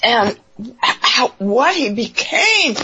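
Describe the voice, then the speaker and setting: A woman's voice: a little high, big pitch swings, drawn-out words, pushed and bright. A woman in casual conversation, responding in short, affirming phrases. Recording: noisy, dull lo-fi call audio.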